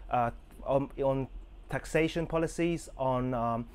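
A man in his thirties from Hong Kong, answering interview questions over a video call in an earnest way, often pausing with ums and restarts. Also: mid-range voice, a little mellow, clean tone. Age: 30s. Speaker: entrepreneur, interview guest. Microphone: video call, head-and-shoulders webcam framing.

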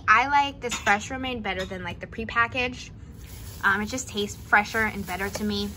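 A woman's voice: medium pitch, background noise. A woman vlogger chatting informally and casually in a home kitchen. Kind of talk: casual chat, narrating a grocery haul and kitchen routine.

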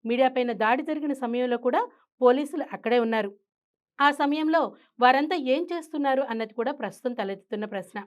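High-pitched woman news presenter. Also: brisk. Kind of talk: scripted news commentary.

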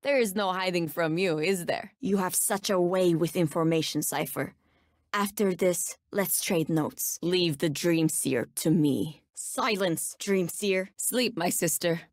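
An actress with a Turkish accent voicing a menacing, sardonic nightmare-wielding bounty hunter in a video game, speaking with cool, ominous deliberation. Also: female voice, lowish voice, measured pace, no room sound, studio quality, close to an everyday voice. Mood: ominous, measured. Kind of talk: video game character voice lines.

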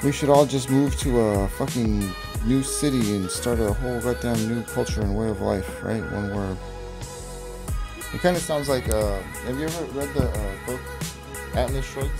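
A man's voice: medium pitch, normal speed. A man talking off the cuff, rambling and swearing, with a voice-over over music. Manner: profane, rambling, laughs at own jokes. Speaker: vlogger. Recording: voice over loud background music.

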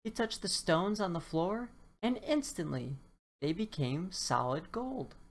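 A woman narrates a children's picture book for bedtime. She speaks slowly and deliberately, in a low register that swoops expressively around key words. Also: animated. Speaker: children's storybook reader. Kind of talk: read-aloud bedtime story narration.

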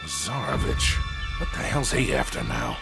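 Gravelly older sidekick. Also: male voice, very deep voice, wildly swooping pitch, moderate pace, over loud music, exaggerated voice. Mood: grumbling. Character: gruff older male treasure-hunting sidekick. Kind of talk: video game cutscene acting.

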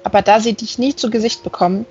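A woman's voice: mid-range voice, steady tone, fast talker, darkish tone, highs cut off, soft background music, lightly acted. A woman voicing a curious, plain-spoken village woman in an audiobook, direct and inquisitive. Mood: amused, frank.

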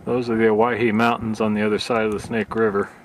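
A man's voice: lowish voice, little pitch movement, fast. Matter-of-fact man at a noisy outdoor range. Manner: matter-of-fact, plain delivery. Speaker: channel host. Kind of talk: narrating shooting tests. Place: outdoor shooting range, partly in a car.

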